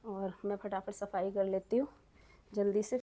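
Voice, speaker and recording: A woman's voice: mid-range voice, little pitch movement, normal speed, mellow. A woman talking casually and chattily to her viewers as she vlogs her daily home routine. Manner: chatty, addresses viewers as friends and family.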